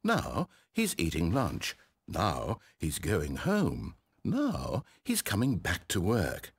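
A man's voice: deep voice, wildly swooping pitch, takes it slowly, slightly rough, full character voice. A man with a British accent playing a wry, condescending, controlling narrator in a game, eloquent and theatrical. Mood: wry, pitying. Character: wry, exasperated, controlling male storyteller narrator. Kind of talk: theatrical scripted storybook narration.

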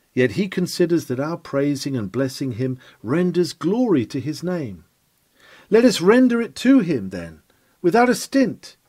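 A man delivers a sermon in a solemn, declamatory voice that sits a little high. His pitch rises and falls widely from phrase to phrase. The recording is dry and close, with no room sound. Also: warm tone, no room sound. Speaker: reader of historic sermons. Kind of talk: sermon reading.

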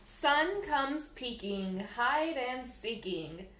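High, lively woman reading to young children. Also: drawn-out words. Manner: sing-song, addressing young children. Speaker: library staff storyteller. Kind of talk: children's picture book read-aloud. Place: small room indoors by a window, seated. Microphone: camera mic a short distance away. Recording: roomy echo from camera mic.

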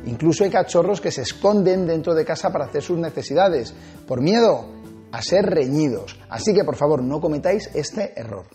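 A man in his forties from Spain hosting a dog-training video, talking to camera with animated gestures and emphatic swings in pitch. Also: normal speed, soft background music. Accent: Spanish from Spain. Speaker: dog trainer and channel host. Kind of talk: energetic tips video to camera. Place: small indoor studio set with shelves. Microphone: lapel.

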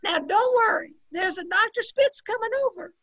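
A woman's voice: very high-pitched, normal speed, fairly bright tone. A woman in her sixties playing a sardonic, long-suffering, wisecracking maid in an old-time radio comedy, recorded over a video call. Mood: brisk, wry. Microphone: computer mic on video call.

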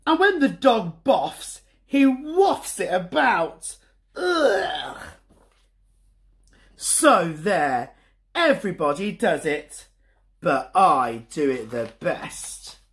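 A woman's voice: lowish voice, very animated, very slow, full character voice. A woman reading aloud, voicing a cheeky little boy who is proud of his smells. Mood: cheeky, boastful.

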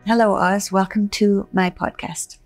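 A middle-aged woman hosting a podcast interview, speaking casually with many fillers and quick affirmations. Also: mid-range voice, level tone, faint music behind. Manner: warm listener with frequent affirmations, hesitant fillers. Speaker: podcast host. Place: bright room indoors.

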